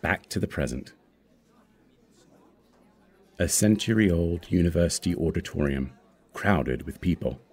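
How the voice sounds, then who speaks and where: A man's voice: low-pitched, animated, a little slow, pauses often, breathy edge. A man reading screenplay stage directions as scripted narration.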